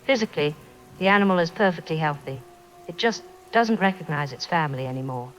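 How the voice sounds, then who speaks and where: An actress playing a calm, watchful radio operator giving measured, procedural reports in an audio drama. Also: female voice, sits low, faint music behind, low-quality recording. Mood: grave.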